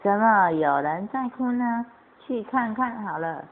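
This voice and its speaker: A woman's voice: medium pitch, animated, unhurried, lightly acted. A woman playing a doting monster mother in a children's radio play, in simple exclamatory play-acting. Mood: surprised. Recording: tinny, band-limited amateur recording with noise.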